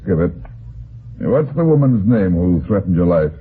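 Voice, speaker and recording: A man's voice: low-pitched, sing-song, normal speed, husky, exaggerated voice. A man playing a blunt, unruffled private investigator with terse, probing delivery in an old-time radio detective drama. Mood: probing, dry. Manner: terse, clipped, probing questions. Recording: tinny band-limited vintage radio broadcast.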